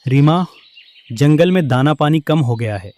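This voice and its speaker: A man voicing a poor, weary, hardworking father bird in an animated story, earnest and downcast. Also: a little high, a little slow, no echo. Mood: resigned. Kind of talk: animated moral story dialogue.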